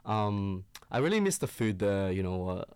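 A man's voice: on the low side, sing-song, normal speed, darkish tone, clear, resonant tone, dry recording. A man from China co-hosting a casual radio show, hesitant with many ums. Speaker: radio host.

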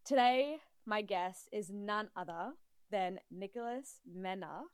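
Host: woman, smooth clear voice, bubbly and warm-hearted delivery, dry sound. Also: medium pitch, slow, no room sound. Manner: bubbly, effusive. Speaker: interview host. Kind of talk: casual interview.

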